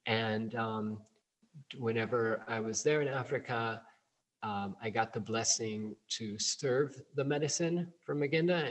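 A man who works as a plant medicine provider, answering interview questions in a relaxed way, full of ums and you-knows. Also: mid-range voice. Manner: relaxed, many fillers like um and you know. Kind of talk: interview answers over a call.

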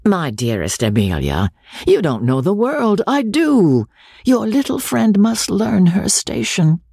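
A man's voice: sits high, wildly swooping pitch, slow pace, gravelly, darkish tone, slightly rough, dry recording, studio quality, voice pushed up, heavily acted. Man voicing a teasing, arrogant young officer. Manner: mocking, laughing banter.

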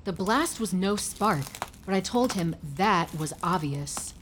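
A woman playing a brisk, technically minded government agent in an audio drama, speaking matter-of-factly. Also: medium pitch, lively intonation, fairly bright tone, a little hiss or hum. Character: brisk, matter-of-fact female tech-savvy agent Rainey.

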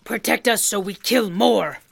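A woman's voice: medium pitch, unhurried. A woman voicing a crude, childlike, violent brute in a fantasy audio drama, growling in blunt broken grammar. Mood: eager, bloodthirsty. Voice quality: gravelly, growling. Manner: blunt broken grammar, speaks of self by name.

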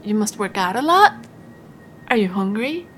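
A woman playing a warm, chatty older housewife in a naturalistic audio drama. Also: mid-range voice, very animated, moderate pace, a little hiss or hum. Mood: fond, welcoming.

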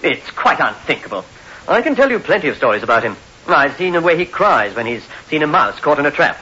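A high, bright-voiced, excitable gentleman speaks politely and earnestly in radio-play style. The recording is old, muffled and hissy. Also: brisk. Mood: earnest, defensive. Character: chatty, genteel, easily shocked gentleman. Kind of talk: old-time radio drama acting.

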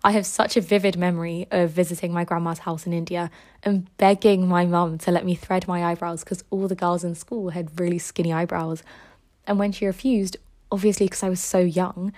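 A woman's voice: fairly low pitch, level tone, a little fast, a little mellow. Young woman hosting a podcast. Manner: earnest and personal, reading from a script. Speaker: podcast host. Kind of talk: scripted essay-like narration.

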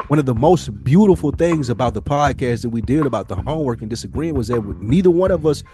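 A man's voice: mid-range voice, expressive, fast, dark tone. A man co-hosting a podcast in casual conversation, speaking emphatically with slang and often rephrasing his points. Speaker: podcast co-host.

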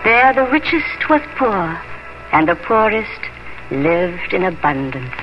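Casting: tender, dreamy country daughter in vintage radio drama, lyrical phrasing; muffled sound, loud music. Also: female voice, on the low side, very animated, slow pace. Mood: wistful. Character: gentle, wistful young farmer's daughter narrating memories. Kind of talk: 1950s radio drama, poetic narration. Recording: muffled old radio recording.

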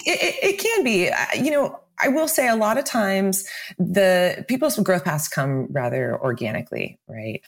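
Low-pitched woman explaining business matters. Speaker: senior director of product marketing. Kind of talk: interview answers, explanatory business talk.

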